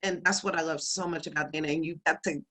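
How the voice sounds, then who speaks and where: A woman's voice: low voice, steady tone, fast talker, sharp tone. A woman hosting an online event, enthusiastic and chatty as she introduces the speakers over a video call. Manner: enthusiastic, chatty, laughs easily. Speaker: event host.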